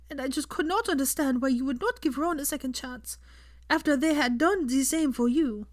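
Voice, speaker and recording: A woman voicing a guilt-ridden, earnest young married woman in an audiobook story, speaking in halting, formal phrases. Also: high voice, a little mellow, dry recording, voice pushed up. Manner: halting, formal phrasing without contractions, breaks off mid-sentence.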